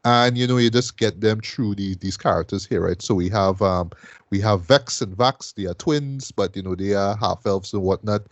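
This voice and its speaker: A man's voice: lowish voice, moderate pace, no room sound. A man from Trinidad, casually reviewing a show as a podcast host, rambling with lots of fillers and "right?" tags.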